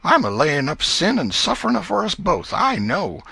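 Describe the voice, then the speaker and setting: A man's voice: high voice, wildly swooping pitch, gravelly, pushed and bright, breathy edge, no echo, voice pushed up, exaggerated voice. A man voicing a fretful, tender-hearted old American country aunt in a folksy Southern accent for a storybook reading. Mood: exasperated, tender. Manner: folksy, exclamatory, drawn-out lamenting. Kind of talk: dramatized audiobook reading.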